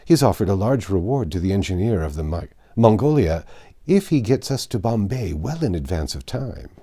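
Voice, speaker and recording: A man's voice: on the low side, big pitch swings, normal speed, mellow. A man voicing a voluble, proud and trusting French servant in a storybook, chatty and emphatic. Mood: confiding.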